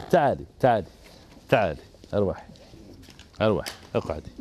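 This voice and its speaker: A gentle, encouraging man with an Algerian Arabic accent hosts a children's TV show from an indoor studio set, holding a handheld mic. His voice moves lively up and down as he coaxes the kids, and a faint hiss sits under it. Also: lowish voice. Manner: gentle, encouraging, repeats praise over and over. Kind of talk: coaxing kids on stage.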